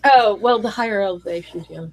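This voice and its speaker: A woman co-hosting a casual group chat, sick, her voice deeper and more gravelly than usual, acting out what other people say. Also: a little fast. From Pacific Northwest, US. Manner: animated, acts out quoted customers and family. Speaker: podcast co-host.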